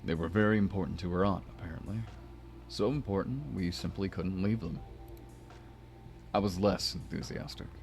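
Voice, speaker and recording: A man voicing a kindly, wistful older schoolteacher, deep and wordy, in a visual novel, with music behind. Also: moderate pace, gravelly, long pauses, darkish tone. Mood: wistful, chatty. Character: kindly, bemused older male former teacher, wistful widower.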